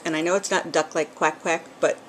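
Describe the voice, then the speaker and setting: A woman's voice: low-pitched, fairly even intonation, brisk, fairly bright tone, a little hiss or hum. A middle-aged woman from New England with a New England accent and a bit of Southern drawl, chatting casually at home into a camcorder, rambling with lots of ums. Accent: New England, with some Southern. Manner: chatty, rambling, with frequent ums and small laughs. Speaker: vlogger. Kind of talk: casual chat. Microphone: camcorder built-in mic.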